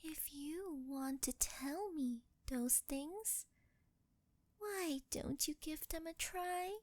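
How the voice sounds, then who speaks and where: A young woman playing a sweet, shy, clingy angel turned succubus in an ASMR roleplay, speaking softly and breathily with a coy, halting, intimate delivery close to the mic. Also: high-pitched, dry recording. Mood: coy teasing. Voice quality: soft, breathy, girlish. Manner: coy, halting, intimate, often dropping into whispers. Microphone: close ASMR microphone, dry sound.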